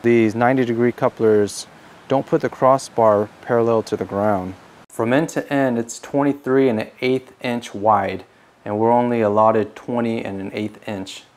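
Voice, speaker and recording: A man's voice: medium pitch, fairly even intonation, moderate pace, a little mellow. A man giving scripted how-to narration as a DIY host, in a plain-spoken, instructional manner.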